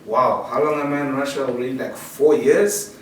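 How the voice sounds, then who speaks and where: A young man from South Africa, a university student, talking in a relaxed way with casual slang. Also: medium pitch, moderate pace, slight background noise. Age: 20s. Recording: very echoey room, distant mic.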